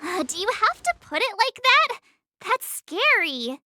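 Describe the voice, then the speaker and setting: A woman voicing a perky, excitable young traveler girl in a video game, chatty, dramatic and informal. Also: very high-pitched, a little slow, no room sound, studio quality, exaggerated voice. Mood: uneasy. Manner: expressive, girlish, informal. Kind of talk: animated video game voice acting.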